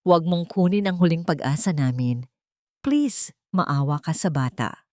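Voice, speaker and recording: A woman's voice: low voice, expressive, measured pace, darkish tone, no room sound. Casting: exhausted pregnant young wife, voiced by a woman narrator, begging desperately, audio drama, dry close sound. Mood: desperate pleading. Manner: pleading, exhausted.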